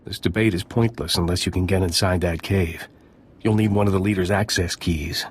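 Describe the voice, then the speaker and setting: An actor playing a gruff, militant village scout chief in a video game, blunt and hard-bitten. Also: male voice, low voice, steady tone, normal speed, slight background noise, natural voice. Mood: gruff resolve. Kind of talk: video game dialogue acting.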